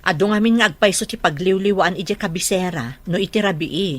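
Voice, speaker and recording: A woman's voice: fairly low pitch, a little fast, dry recording, close to an everyday voice. A woman playing a concerned, questioning middle-aged aunt in a radio drama. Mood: concerned, probing.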